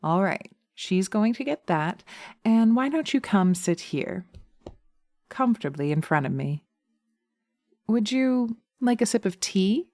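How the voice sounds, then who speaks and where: A woman playing a teasing, sweetly sinister witch in a hypnosis roleplay, coaxing slowly and soothingly into a close binaural mic, often dropping to a whisper. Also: medium pitch, animated, darkish tone, no room sound. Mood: coaxing, hypnotic. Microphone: close binaural microphone.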